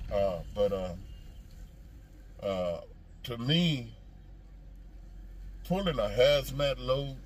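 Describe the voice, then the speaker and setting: A man who drives trucks, talking casually to viewers and giving his opinion in a folksy, emphatic, repetitive way, with noisy ambience behind him. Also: fairly low pitch.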